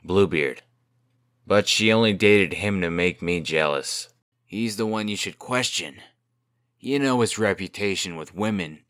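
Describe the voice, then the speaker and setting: A man's voice: low voice, a little slow, a bit bright, dry recording, clean studio sound. A man playing a cocky, glib and defensive young fairy-tale trickster in a comic dub drama.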